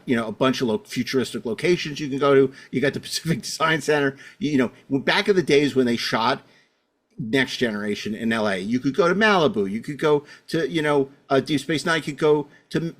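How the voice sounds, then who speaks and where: A man hosting a casual panel discussion, arguing his opinions emphatically and often repeating himself. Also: mid-range voice. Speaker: podcast host.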